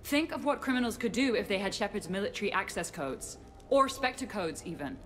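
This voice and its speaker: An actress playing a jumpy, chatty young military intelligence desk worker who rambles and hesitates in video game dialogue. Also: female voice, mid-range voice, lively intonation, fairly quick, slight background noise, natural voice. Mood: anxious, explaining. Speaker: intelligence analyst character.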